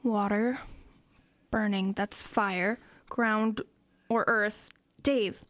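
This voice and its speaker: A woman's voice: medium pitch, steady tone, very slow, a bit bright, no echo. A woman playing an analytical teenage schoolgirl in an audio drama, matter-of-fact and reasoning things out aloud. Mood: analytical, determined. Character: earnest, analytical teenage schoolgirl amateur sleuth.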